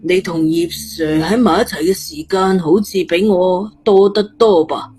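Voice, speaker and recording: Woman acting as young man, Cantonese, curt, exasperated, lowered pitch, audio storybook. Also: unhurried, a little mellow, soft background music, close to an everyday voice. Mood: jealous.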